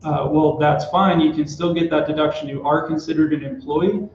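A man in his thirties walks through tax rules in a measured, even way, his voice on the high side and quite flat. He sounds picked up in a small office room, with a roomy, dull quality to the sound. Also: a little hiss or hum. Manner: steady, explanatory, with filler "uh"s. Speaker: tax expert guest. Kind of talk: explaining tax rules in a live company broadcast.

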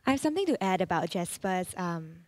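A woman's voice: fairly low pitch, sing-song, a little fast. A young adult woman from Malaysia, a student, speaking to a public forum from a panel. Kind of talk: prepared remarks at a public forum. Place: lecture hall stage, seated on a panel. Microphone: handheld microphone.

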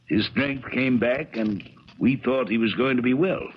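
An actor playing a kindly, wistful old recluse in a 1970s radio drama, speaking in a halting, sentimental manner. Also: male voice, mid-range voice, close to an everyday voice. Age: older. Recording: dull, crackly 1970s radio recording.

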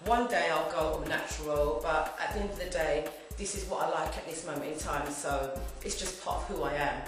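Hoarse, chatty woman vlogging. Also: deep voice, a little fast. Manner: chatty and rambling, lively gestures. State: hoarse from a throat infection. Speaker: wig review vlogger. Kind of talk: casual chat to camera. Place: home room indoors. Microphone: camera at mid distance. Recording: very echoey room with faint music.

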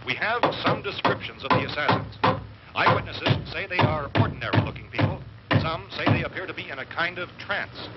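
Actor as high-pitched grave radio newsreader. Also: male voice, lively intonation, natural voice. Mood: grave, urgent. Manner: measured, authoritative. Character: grave, urgent male radio newsreader announcing an emergency. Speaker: radio news announcer. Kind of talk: formal scripted emergency news bulletin. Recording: muffled old radio broadcast, loud background music.

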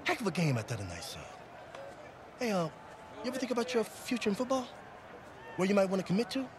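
An actor playing an eager, chummy middle-aged recruiting analyst in a video game cutscene. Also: male voice, high-pitched, wildly swooping pitch, fast. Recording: noisy outdoor stadium ambience.

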